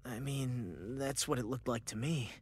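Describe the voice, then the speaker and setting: An actor voicing a casual, tactful young rebel companion in a video game, informal and a little hesitant. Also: male voice, mid-range voice, measured pace, husky. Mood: tentative. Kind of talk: video game cutscene acting.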